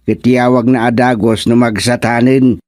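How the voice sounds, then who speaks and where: A man's voice: medium pitch, flat delivery, moderate pace, a little mellow, no room sound, close to an everyday voice. A man performs a practical village man in a radio comedy, matter-of-fact and level-headed. His voice is dry and close to the mic. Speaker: radio drama character. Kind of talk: Ilocano radio comedy acting.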